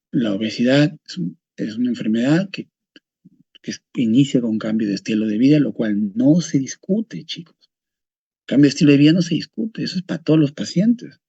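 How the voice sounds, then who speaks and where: A man teaches a medical class over a video call, firm and explanatory as he speaks right to his students. His voice is gravelly and mellow, a little husky. The audio comes through dull and lo-fi. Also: mid-range voice, no echo. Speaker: doctor teaching a medical course. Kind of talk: online lecture.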